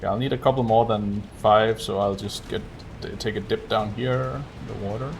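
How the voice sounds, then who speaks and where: A man's voice: fairly low pitch, fairly even intonation, moderate pace, gravelly, slight background noise. A man giving casual live gameplay commentary as a speedrunner, muttering and thinking aloud. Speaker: speedrunner streaming a video game.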